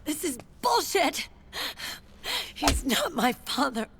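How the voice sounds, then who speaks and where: An actress playing a tough, hot-tempered young American squad commander in a video game, blunt and military in manner. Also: female voice, high voice, big pitch swings, slow, heavy background noise, heavily acted. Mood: outraged. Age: young adult. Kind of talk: dramatic video game cutscene acting.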